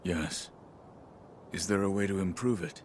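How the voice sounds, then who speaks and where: Very low, stoic shinobi. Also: male voice, measured pace, a little hiss or hum. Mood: terse. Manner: clipped, guarded, deadpan. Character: terse, stoic one-armed male shinobi warrior. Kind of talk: video game cutscene acting.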